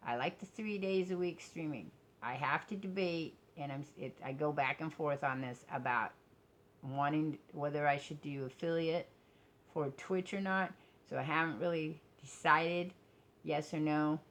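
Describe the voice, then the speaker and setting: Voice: mid-range voice, a bit bright. A middle-aged vlogger talking casually to viewers in a rambling, candid and self-reflective way. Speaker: vlogger and game streamer.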